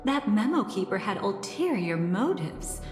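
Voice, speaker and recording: A woman playing a teasing, elegant and mysterious young schemer in a video game, speaking in a deliberate, coy way. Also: medium pitch, very animated. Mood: calm, reassuring. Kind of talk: scripted game dialogue. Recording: heavy reverb, music underneath.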